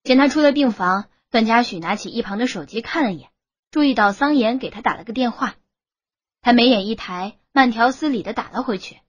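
Slightly high, husky female narrator. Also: a little fast, no echo, muffled recording. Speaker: audiobook narrator. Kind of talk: scripted romance novel narration.

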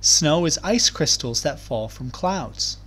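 A man reading aloud to young children as a teacher, explaining things in clear, simple terms. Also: a little high, lively intonation, slow pace, a bit bright. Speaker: primary school teacher. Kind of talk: children's read-aloud lesson.

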